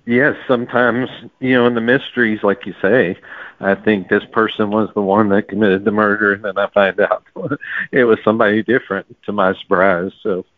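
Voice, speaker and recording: A man's voice: on the low side, fairly even intonation, moderate pace, no room sound. A man answering interview questions casually as a novelist, heard over a tinny, narrow line.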